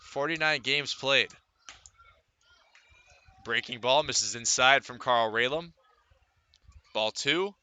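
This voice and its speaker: Bright, forceful American baseball announcer, man. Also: medium pitch, takes it slowly, long pauses, clean tone. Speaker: baseball radio play-by-play announcer. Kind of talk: live sports commentary.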